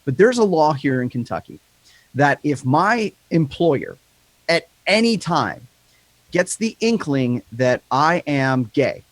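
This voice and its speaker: A man with a higher-pitched voice and a slight lisp, talking candidly and rambling in a casual podcast chat, swearing freely. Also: very animated, slow pace, dry recording.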